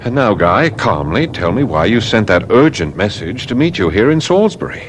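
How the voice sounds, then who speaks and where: On a noisy old radio recording, a young doctor and mesmerist speaks. His voice is low with very lively inflection, measured and commanding in a theatrical way. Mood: reassuring. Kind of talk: old-time radio drama acting.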